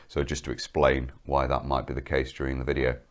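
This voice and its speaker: A man's voice: rumbling low, level tone, fairly quick. A young man with a cold presenting military kit to camera in a studio, explaining each piece in a methodical, detailed way. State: has a cold.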